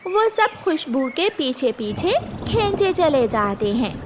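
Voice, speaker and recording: A woman's voice: sits high, sing-song, slow, background noise. A woman narrating a children's cartoon story.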